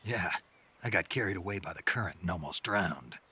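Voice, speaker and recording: A terse soldier, very deep. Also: male voice, animated, brisk, bright, forceful tone, husky, heavily acted. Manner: clipped, murmured delivery. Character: terse gruff male special-ops soldier. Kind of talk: video game cutscene acting.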